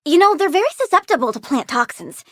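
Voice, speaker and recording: A woman's voice: high voice, very animated, fast. An actress playing a childlike plant-girl villain in a video game, girlish and playful, petulant, gleefully menacing and doting on her plants. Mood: blunt, matter-of-fact. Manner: playful, petulant, swings from cooing to threats. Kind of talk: video game character voice lines.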